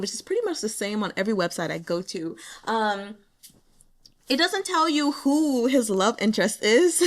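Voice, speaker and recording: A young woman chatting casually about a novel she is reading, animated, laughing often and acting out her own reactions. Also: on the high side. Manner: carefully spells out a Mandarin phrase syllable by syllable. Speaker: audiobook reader sharing chapter notes. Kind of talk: casual chat.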